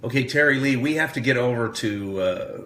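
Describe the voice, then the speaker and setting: A man's voice: mid-range voice, moderate pace, bright, forceful tone. A man co-hosting a casual livestream radio show as its singer, talking in a playful, bantering way. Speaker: singer and co-host of an online fan radio show. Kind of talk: casual joking livestream chat. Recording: roomy echo, music behind the voice.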